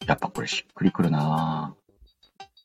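A man's voice: extremely low pitch, flat delivery, a little slow, slightly rough. A man hosting a casual radio-style show, chatting and reading listener letters in a playful, slangy way with self-interrupting asides. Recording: dull lo-fi sound with music behind. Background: background music.

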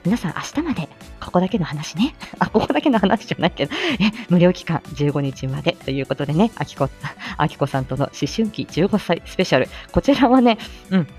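A woman's voice: medium pitch, darkish tone. A woman hosting a casual morning live chat, chatty and giggly, politely explaining and slipping in playful asides. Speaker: live audio show host; speech-language therapist.

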